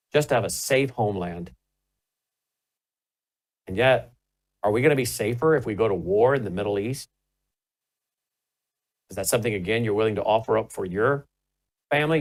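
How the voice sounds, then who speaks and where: Male talk host, middle-aged, emphatic, pushes hard on rhetorical questions. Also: medium pitch. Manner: emphatic, rising to forceful questions. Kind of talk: political commentary and panel hosting.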